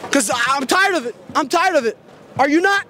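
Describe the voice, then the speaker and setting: A man's voice: extremely high pitch, expressive, brisk, bright, forceful tone, slight background noise. A young man, a sports fan, ranting furiously and profanely in a street interview at night, into a handheld mic. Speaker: fan interviewed after a game. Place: city street at night outdoors. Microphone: handheld mic held by interviewer.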